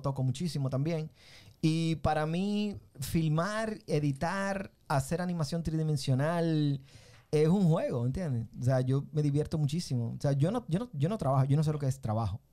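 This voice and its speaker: Guest spot: middle-aged Dominican guy, higher-pitched, mellow tone, lively storyteller. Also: moderate pace. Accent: Dominican Spanish. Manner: animated, tag questions, repeats himself. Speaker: guest, filmmaker and musician. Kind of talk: casual interview storytelling.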